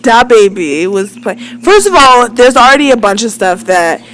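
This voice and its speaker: A woman co-hosting a casual podcast, chiming in with quick, playful remarks and laughter. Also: a little high, very animated, a bit bright, a little hiss or hum, rough recording. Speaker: podcast co-host. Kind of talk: casual chat.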